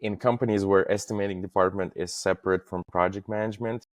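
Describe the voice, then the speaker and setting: A man in his thirties from Estonia, talking as a podcast guest in a halting way, with many fillers and restarts. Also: sits low, fairly even intonation, dry recording. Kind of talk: casual interview talk.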